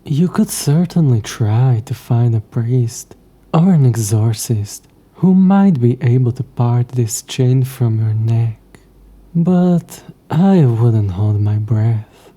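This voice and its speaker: A man voicing a smug, coy, centuries-old demon in an audio roleplay, speaking in a hushed, breathy voice with haughty, old-fashioned, taunting phrasing. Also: medium pitch, expressive, takes it slowly. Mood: smug, amused. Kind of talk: scripted audio roleplay addressed to the listener.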